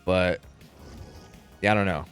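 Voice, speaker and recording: A man's voice: low-pitched, steady tone, unhurried, clean tone, soft background music. A man chatting casually while streaming a game, rambling, with many fillers and casual swearing. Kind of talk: casual chat while gaming.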